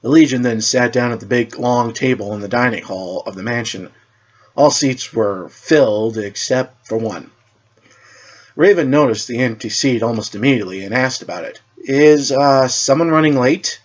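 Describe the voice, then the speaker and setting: A man's voice: medium pitch, moderate pace, muffled recording. A man reading scripted narration as the storyteller of a superhero tale.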